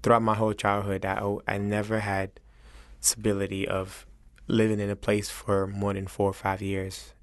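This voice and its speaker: A man from Senegal with a West African accent speaks earnestly and reflectively in an interview, halting as he searches for his words. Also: fairly low pitch, monotone, no room sound. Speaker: chef interviewed as guest.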